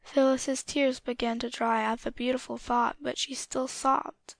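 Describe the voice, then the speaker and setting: A woman's voice: a little high, flat delivery, measured pace, creaky, no room sound. A woman narrating a scripted story as an audiobook reader.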